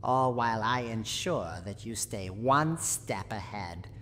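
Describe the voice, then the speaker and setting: Gruff old granny of a haunted house, teasing, lively intonation, long reverb over noticeable noise. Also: female voice, extremely low pitch, slow, heavily acted. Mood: warning. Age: older. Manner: menacing yet secretly tender, theatrical. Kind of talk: scripted roleplay ASMR monologue.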